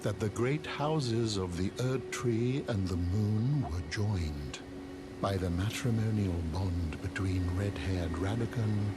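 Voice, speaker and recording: A man playing an aged, wise turtle cleric in a fantasy game, with a courteous, measured, gently formal manner. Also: on the low side, very noisy. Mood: solemn, wistful. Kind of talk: theatrical fantasy game acting. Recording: clear music under the voice.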